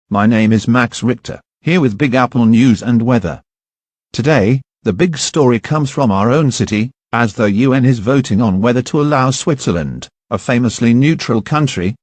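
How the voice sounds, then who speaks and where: A man's voice: mid-range voice, animated, normal speed, darkish tone, dry recording, close to an everyday voice. A man playing a local morning news anchor, reading scripted stories in a conversational newsreader's manner. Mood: chatty, informative.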